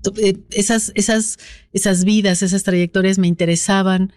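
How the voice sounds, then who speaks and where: A Mexican woman author being interviewed, speaking in a reflective, thoughtful way with pauses and restarts. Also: mid-range voice, fairly even intonation, darkish tone, dry recording, clean studio sound. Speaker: author, guest. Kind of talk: interview conversation.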